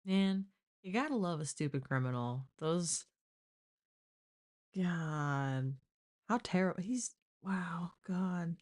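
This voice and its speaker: A woman's voice: fairly low pitch, expressive, takes it slowly, pauses often, darkish tone. A woman hosting a live stream, casually reacting to a crime show in a blunt, exclaiming way and thinking aloud.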